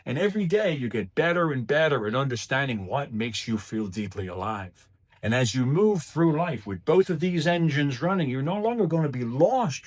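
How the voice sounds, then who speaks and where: Male public speaker for a motivational speech, husky tone, very animated pitch, emphatic and deliberate phrasing.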